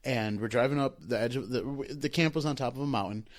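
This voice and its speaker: A man chats casually in a middle-pitched voice. He retells things in an informal, emphatic way, repeating himself for effect as a podcast co-host. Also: fast talker, a crowd in the background. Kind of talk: casual chat, storytelling.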